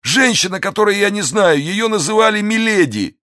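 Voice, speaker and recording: A man voicing a wounded, cowardly male soldier who pleads for his life in desperate, high, strained cries. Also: lively intonation, moderate pace, sharp tone, no room sound, studio quality. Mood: pleading, terrified. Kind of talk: audiobook character voice.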